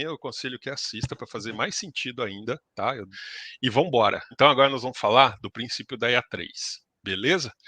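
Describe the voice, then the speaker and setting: A male instructor explains a lesson in a casual, chatty way. His voice is bright and forceful. The sound is very dry and close, with no room at all. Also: mid-range voice, no echo. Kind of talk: live lesson presentation.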